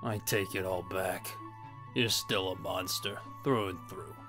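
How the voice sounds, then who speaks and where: A man's voice: low-pitched, fairly even intonation, a little slow, music in the background. A man voicing an indignant, sophisticated freeloading pig in a comic dub, wordy and self-important. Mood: disgruntled.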